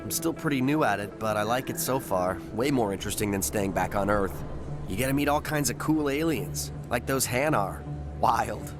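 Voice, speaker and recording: A man's voice: fairly low pitch, expressive, normal speed, over music, natural voice. An actor playing an eager, starstruck young policeman in a video game, chatty and deferential with boyish enthusiasm. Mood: chatty, enthusiastic. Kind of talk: natural video game acting.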